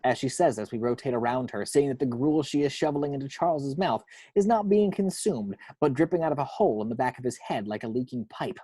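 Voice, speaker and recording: A man's voice: medium pitch, lively intonation, fairly quick, mellow, husky, no room sound. A man reading scripted action descriptions from a screenplay as narrator. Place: at home.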